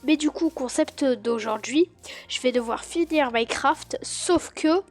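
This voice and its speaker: A woman with a stuffy cold, chatting excitedly to her viewers as she plays and comments on a game. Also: high voice, measured pace. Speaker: gaming YouTuber. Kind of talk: casual gameplay commentary.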